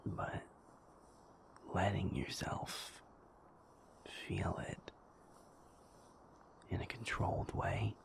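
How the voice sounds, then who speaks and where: A man playing a gentle, patient werewolf in an intimate audio roleplay, soothing and reassuring in a halting, breathy, creaky whisper. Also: deep voice, level tone, heavily acted. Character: gentle, patient male werewolf comforting a sleepless partner.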